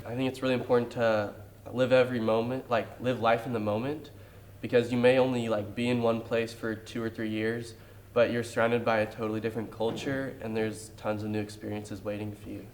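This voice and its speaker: A teenage boy, a high school senior, giving upbeat answers in an interview. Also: little pitch movement, a little fast, a little hiss or hum, rough recording. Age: late teens.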